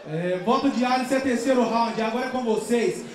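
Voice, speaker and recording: A man hosting an outdoor rap battle, calling to the crowd in a loud, rowdy, slangy way and counting their votes. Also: very high voice, brisk. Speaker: battle host. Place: outdoor stage under an overpass, big crowd. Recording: echoey open-air PA, distant mic, loud crowd.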